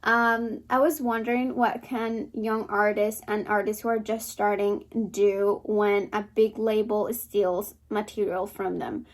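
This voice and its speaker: A woman's voice: medium pitch, little pitch movement, unhurried, few pauses. A young woman asking a casual recorded question as a listener.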